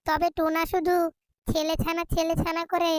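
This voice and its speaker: A woman voicing a gentle, long-suffering mother bird in a children's cartoon, with a plaintive manner. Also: extremely high pitch, little pitch movement, moderate pace, no room sound, studio quality. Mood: worried.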